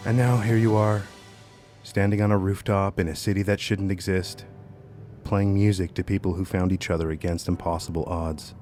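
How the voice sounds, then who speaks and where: Man playing a low-voiced, philosophical young man. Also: level tone, darkish tone, soft background music, close to an everyday voice. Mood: melancholy, earnest. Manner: measured, reflective phrasing. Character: quiet, philosophical young man, bassist, melancholy and earnest.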